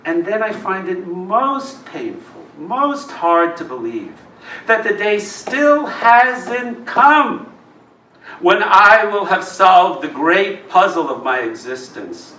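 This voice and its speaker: A roomy, slightly noisy live recording, picked up from a distance. A man reads poetry with dramatic, deliberate phrasing. His voice is bright and forceful, moving slowly through wide swings of pitch. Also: very animated. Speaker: poet reading own work. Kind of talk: expressive live poetry reading. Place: live reading in a roomy indoor venue.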